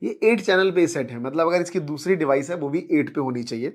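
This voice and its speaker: A man's voice: fairly high pitch, expressive, a little fast. An Indian man giving an informal, persuasive product demonstration, explaining things and mixing in English words. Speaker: product presenter and seller.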